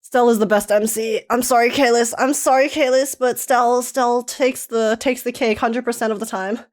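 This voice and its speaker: A woman streamer giving casual live game reactions in an excitable, giggly, emotional manner. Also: fairly high pitch, moderate pace.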